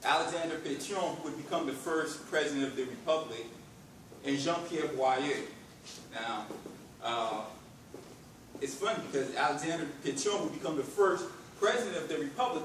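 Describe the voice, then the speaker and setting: A man's voice: a little high, fairly bright tone. Haitian man lecturing in an echoey hall. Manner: halting, many filler words, builds in emphasis. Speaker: conference presenter. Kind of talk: academic lecture. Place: university conference room. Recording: very echoey room from far off, background noise.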